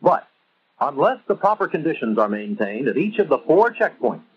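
An American man narrating a 1950s instructional film in a measured, emphatic, teacherly way, on an old film soundtrack. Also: a little high, sing-song, slightly rough, dull audio.

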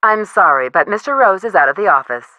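An actress playing a polite, businesslike office receptionist in a video game, with a courteous, rehearsed phone manner and slightly muffled sound. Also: female voice, medium pitch, expressive, fast, bright, forceful tone, no echo.

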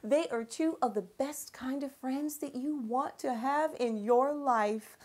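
A middle-aged woman hosting a children's storytime, speaking gently with deliberate, drawn-out phrasing. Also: high-pitched. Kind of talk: gentle presentation to young children.

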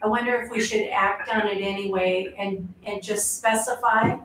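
A woman at a school board meeting explaining the plans in a measured way. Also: mid-range voice, fairly even intonation, a bit bright, slight background noise. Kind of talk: meeting discussion. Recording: echoey, distant room audio.

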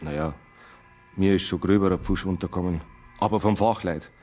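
A man's voice: deep voice, steady tone, moderate pace, darkish tone, soft background music. An actor playing a matter-of-fact male forensic technician with a Bavarian accent in a radio crime drama.

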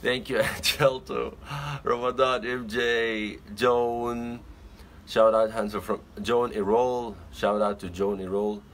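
A man's voice: mid-range voice, flat delivery, takes it slowly, slight background noise. A young man from Egypt casually chatting on a phone livestream from his bedroom, reading out and greeting viewers by name. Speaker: livestream host. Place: small bedroom indoors. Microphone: phone camera at arm's length.

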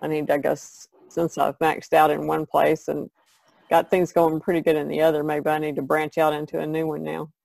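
A woman's voice: sits low, monotone, normal speed, creaky, a little mellow, dry recording, low-quality recording. A woman who is an artist, chatting casually as a panelist on a podcast.

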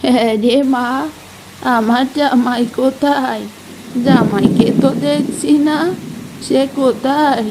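Kindly older village mother-in-law played by a woman, very high and unhurried, coaxing, for a cartoon folk tale. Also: steady tone, noisy background. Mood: affectionate.

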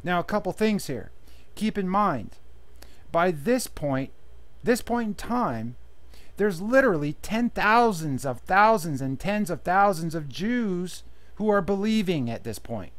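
A man's voice: high voice, sing-song, unhurried, a bit bright. A man teaching a Bible study, reading verses aloud and then explaining them plainly in his own words. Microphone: voice-over on slideshow.

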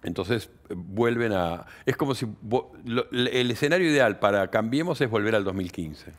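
A man's voice: lowish voice, lively intonation, moderate pace. A man in his sixties with an Argentine accent, explaining things analytically as an interview guest and often adding tag questions. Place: indoor studio set. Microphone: headset.

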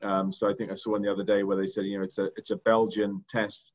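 A middle-aged man with a non-American accent, presenting slides on a video call and speaking haltingly, with many fillers and repeated words. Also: lowish voice, level tone. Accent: non-American English. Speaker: diagnostics company executive. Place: indoor room. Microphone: video call webcam. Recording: dull lo-fi video call audio.

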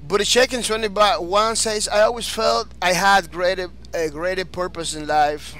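Dominican guy streaming a game, spanish accent, high bright voice, keeps looping his words. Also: normal speed. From Dominican Republic. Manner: energetic, repetitive, restarts phrases often. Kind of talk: live-stream chat, reading viewer comments.

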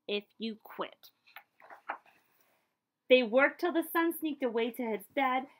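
A middle-aged woman reading a picture book aloud in an expressive, deliberate storytelling manner for children. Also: fairly high pitch, rough recording. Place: small room with bookshelves, indoors.

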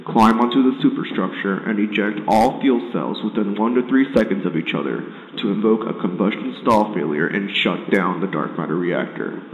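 A man's voice: lowish voice, normal speed, few pauses, phone-quality sound, lightly acted. A man playing a grave reactor operations commander, giving solemn, authoritative emergency instructions. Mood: grave, urgent. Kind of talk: scripted emergency announcement. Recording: echoing PA reverb, faint noise.

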